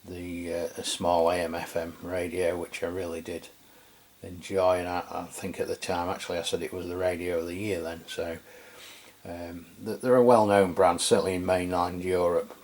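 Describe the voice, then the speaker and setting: A man with an English accent hosting an unscripted product review, talking in a halting, conversational way as he walks through the details. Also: sits low, level tone, darkish tone. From England. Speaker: radio reviewer, channel host.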